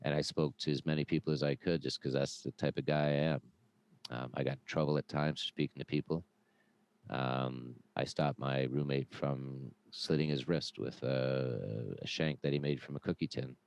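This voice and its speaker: Super deep guy telling his story on a podcast, loud and earnest, smooth voice. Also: steady tone, moderate pace. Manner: earnest, with frequent "uh" and "um". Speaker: podcast guest; former police officer. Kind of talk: conversational personal storytelling.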